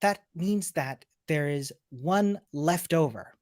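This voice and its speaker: An upbeat, chatty woman from Chile leads a live online coding class. She greets viewers warmly and explains each step clearly while sharing her screen, talking into a computer mic. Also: low voice, lively intonation, slow pace. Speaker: coding instructor. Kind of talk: live-streamed coding lesson.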